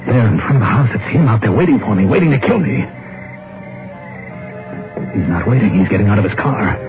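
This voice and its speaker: An actor playing a frightened husband who believes a hired killer is after him, in a 1950s radio drama, talking in a feverish inner monologue. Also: male voice, lowish voice, lively intonation, fairly quick, lightly acted. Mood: panicked. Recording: dull, hissy old radio broadcast with music.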